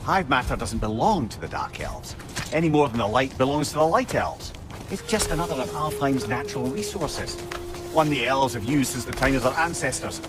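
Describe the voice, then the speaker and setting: An actor playing a garrulous, witty older advisor with a Scottish accent in a video game, wordy, theatrical and wry. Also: male voice, mid-range voice, lively intonation, moderate pace, music in the background, lightly acted. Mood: scholarly, measured. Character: garrulous, witty older male advisor, colourful old storyteller. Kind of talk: cinematic video game acting.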